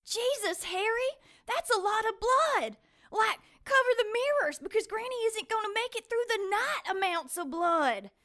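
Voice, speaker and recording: A sassy excitable girl, super high bright voice, swoops all over, radio play. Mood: alarmed. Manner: sarcastic, feisty, fast-reacting. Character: excitable, sassy, indignant young woman helping the hero. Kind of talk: audio drama dialogue.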